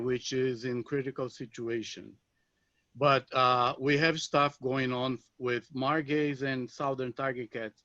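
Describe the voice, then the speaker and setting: A man's voice: mid-range voice, a bit bright, no echo. Brazilian man on dull, dry call audio. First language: Portuguese. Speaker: conservation program coordinator, panelist. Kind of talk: introducing his work on a panel. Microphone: video call.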